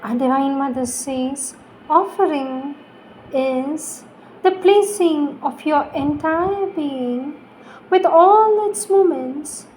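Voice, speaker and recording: Very slow, high-voiced woman reciting solemnly. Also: darkish tone, a little hiss or hum, rough recording. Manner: solemn, deliberate, with pauses between short phrases. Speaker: reader. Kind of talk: slow devotional reading of spiritual poetry.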